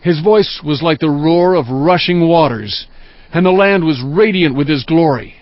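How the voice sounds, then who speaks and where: An actor playing a prophet who recounts his vision in measured, solemn, earnest scripture narration. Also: a little high, dry recording, close to an everyday voice. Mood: awed, solemn. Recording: dull sound over music bed.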